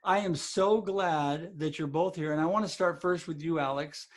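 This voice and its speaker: A man's voice: on the high side, moderate pace. A man hosting a podcast, upbeat and promotional as he introduces his guests. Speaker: podcast host.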